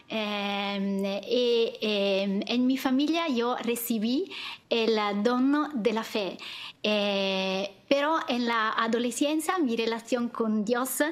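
A woman from northern Italy with an Italian accent, a guest singer who speaks haltingly, pausing often and searching for her words. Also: a little high. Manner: hesitant, many pauses and fillers, searching for words.

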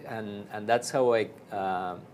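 A man's voice: fairly low pitch, steady tone, slow, a little mellow, slight background noise. A man from Argentina with an Argentine Spanish accent, a priest telling his story in a casual interview, with many fillers and restarts.

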